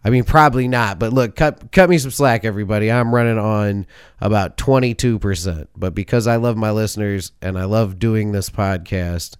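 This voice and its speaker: A man's voice: fairly low pitch, moderate pace, clean tone. A man hosting a sports podcast, talking alone in a rambling, jokey way and often correcting himself mid-sentence. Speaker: podcast host. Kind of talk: casual sports podcast monologue.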